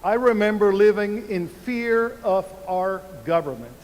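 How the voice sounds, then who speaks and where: A Cuban-born man in his seventies giving a commencement speech at a podium microphone in a large university arena, speaking in deliberate phrases with emphatic pauses. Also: sits high, lively intonation, a little mellow, a little hiss or hum. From Cuba. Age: 70s. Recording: reverberant arena sound.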